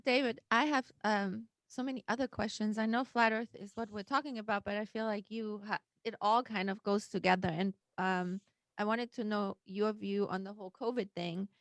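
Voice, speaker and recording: Gravelly-voiced woman from Austria, halting. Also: medium pitch, fairly even intonation, a bit bright, no room sound. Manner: hesitant, halting with fillers. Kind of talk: casual interview questions.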